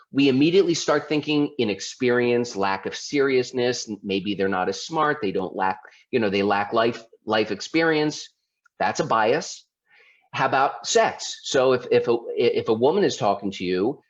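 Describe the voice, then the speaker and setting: A man from Baltimore giving an informal presentation as a lawyer, talking conversationally with restarts and rhetorical questions. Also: mid-range voice. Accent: Baltimore, mostly suppressed. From Baltimore, United States. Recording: slightly dull lo-fi audio.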